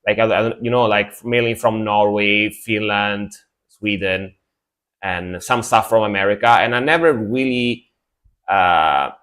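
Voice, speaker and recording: A man's voice: lowish voice, unhurried, a bit bright. A man reacting to music in casual livestream chat, often repeating words for emphasis. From Italy.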